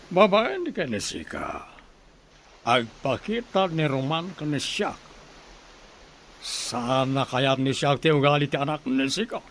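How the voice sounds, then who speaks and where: A man's voice: mid-range voice, sing-song, a little slow, pauses often, fairly bright tone. A man with a Filipino accent playing a rich, haughty master in a radio drama. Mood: haughty, threatening. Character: rich, haughty master threatening a poor family. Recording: noisy background hiss.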